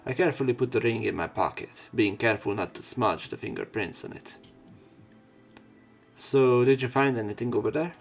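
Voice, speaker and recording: A man's voice: medium pitch, fairly quick, gravelly, soft background music. A man reading a visual novel's text aloud, breaking off now and then for casual, jokey commentary. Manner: casual, jokey asides between read lines. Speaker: let's play narrator.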